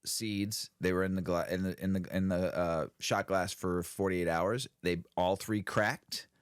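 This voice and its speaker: A man's voice: low-pitched, fairly even intonation, measured pace, clean tone, dry recording, clean studio sound. A man co-hosting a casual podcast, talking in a laid-back, rambling way and laughing often. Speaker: podcast co-host. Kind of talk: casual stoner podcast chat.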